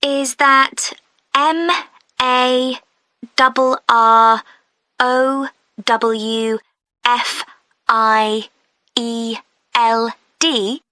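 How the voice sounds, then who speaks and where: A woman playing a friendly, efficient club receptionist, asking clear, helpful questions in a scripted listening-test dialogue. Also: fairly high pitch, steady tone, extremely slow, long pauses, bright, forceful tone, dry recording, exaggerated voice. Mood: helpful, interested.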